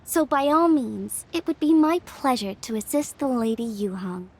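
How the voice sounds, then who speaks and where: A woman's voice: on the high side, animated, moderate pace, noisy background. A woman voicing a polite, learned young woman in a video game, eager and formal in manner. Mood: courteous, modest. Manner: formal, courteous. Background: game music.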